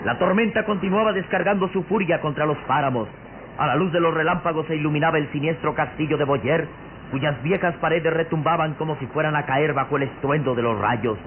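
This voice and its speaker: Theatrical male narrator on tinny radio. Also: a little high. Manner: theatrical, suspenseful, deliberate phrasing. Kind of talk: dramatic scripted radio drama narration. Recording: noisy, tinny old radio drama recording.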